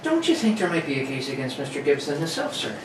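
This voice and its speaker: A man's voice: fairly high pitch, huge pitch swings, quick pace. A man playing a deferential local police sergeant, talking colloquially in a radio play. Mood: confiding. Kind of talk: amateur radio-play acting. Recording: roomy, distant, noisy live recording.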